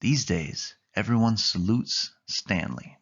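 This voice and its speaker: Low-pitched man reading a children's storybook. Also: expressive, takes it slowly, a bit bright, breathy edge. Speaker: storybook reader. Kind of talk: read-along children's story narration. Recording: dull, muffled lo-fi recording.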